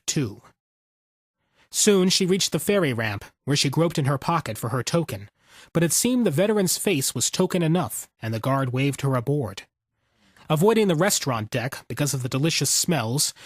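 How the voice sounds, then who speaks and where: A man's voice: mid-range voice, dry recording, clean studio sound. A man reading scripted fiction as an audiobook narrator.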